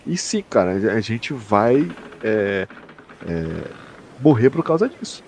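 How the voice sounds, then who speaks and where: A man's voice: lowish voice, big pitch swings, takes it slowly, darkish tone, a little hiss or hum. A young man with a Brazilian accent, co-hosting a podcast in casual, slangy, sweary talk, often repeating himself. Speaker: podcast co-host. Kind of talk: casual chat.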